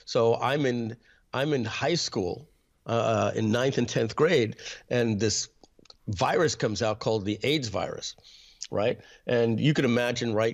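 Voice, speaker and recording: A New man in his fifties with a somewhat low voice speaks as an interview guest. He talks in a halting, candid way, with frequent um's, restarts and throat clearing while recounting his own past. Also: dull audio. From New York. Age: 50s. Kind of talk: personal storytelling in an interview.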